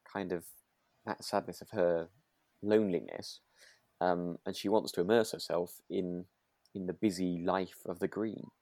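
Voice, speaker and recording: Low-voiced man, casual podcast chat. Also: steady tone, unhurried, a little mellow, no echo, clean studio sound. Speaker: podcast co-host. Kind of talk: relaxed casual chat about a radio drama.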